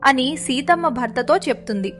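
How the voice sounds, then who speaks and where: Narrator for children's cartoon: woman, high voice, scripted storytelling, faint music underneath. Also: animated, moderate pace, a bit bright.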